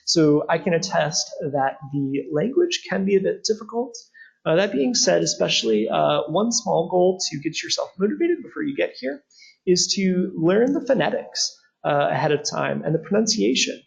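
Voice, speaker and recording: A young man speaks to students in a webinar, his slightly raised voice husky and gravelly. He pauses often on "uh" as he explains things earnestly, walking through slides for a program abroad. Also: sits high, expressive, poor audio. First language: not Czech. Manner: frequent "uh" fillers, earnest and explanatory. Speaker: study abroad program presenter. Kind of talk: webinar presentation over slides.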